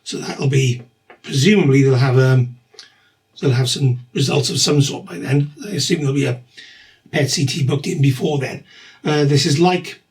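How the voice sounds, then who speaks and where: A middle-aged man with a husky voice and a slight cough, talking through his treatment in a rambling, matter-of-fact video diary. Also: medium pitch, normal speed, poor audio. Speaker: vlogger documenting his cancer treatment.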